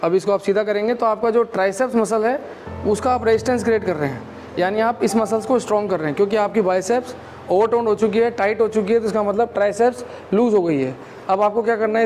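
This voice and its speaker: A man in his thirties, a physiotherapist, calmly explaining exercises step by step in a clinic room, on a lapel mic. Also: sits high. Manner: calm, instructive, explains step by step.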